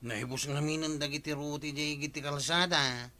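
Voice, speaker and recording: A reproachful male villager with an Ilocano accent. He questions insistently in a bright, slightly high voice, in the manner of a radio comedy drama. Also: fairly even intonation, moderate pace. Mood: accusing. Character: nosy, reproachful village man confronting his neighbour.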